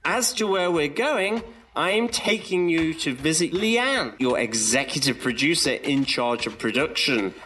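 An actor playing a blunt, mocking British ghost in a comic audio drama, with theatrical delivery and quaint slang. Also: male voice, on the high side, expressive, a little slow, bright, forceful tone, slight background noise. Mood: blunt, scolding. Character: cheeky, blunt British Christmas ghost man.

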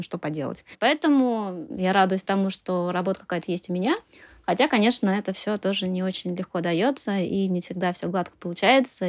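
A woman's voice: on the low side, no echo, studio quality. A woman in her thirties speaking as a podcast guest in a casual interview, informal and hedging as she thinks aloud. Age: 30s.

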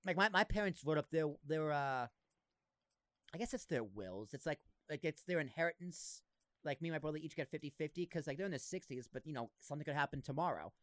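A man's voice: a little high, a bit bright, no room sound. A young man with a slight Boston accent, hosting a podcast in casual, blunt, joking chat. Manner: blunt, jokey, swears, laughs often. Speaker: podcast host.

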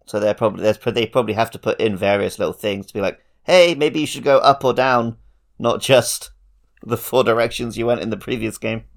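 A man's voice: fairly low pitch, fairly quick, gravelly. A man co-hosting a podcast, talking casually and conversationally about video games. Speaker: podcast co-host. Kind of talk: casual video game discussion.

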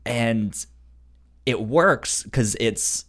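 A podcast co-host chatting casually about a series, in a halting way that often repeats words and starts over. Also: medium pitch, expressive, fairly bright tone.

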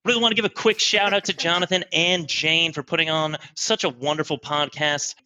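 A man's voice: on the high side, steady tone, brisk, bright, forceful tone. A man speaking as a comedic podcast guest, improvising polished, emphatic corporate training patter in the manner of a presenter.

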